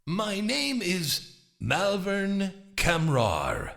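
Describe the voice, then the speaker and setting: A man's voice: sits high, wildly swooping pitch, very slow, creaky, a bit bright, full character voice. A man playing a formal, grandiose and menacing stage magician in a dramatized audiobook. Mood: ominous showmanship. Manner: formal, courteous, grandiose showman. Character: theatrical, menacing stage magician, master of shadows.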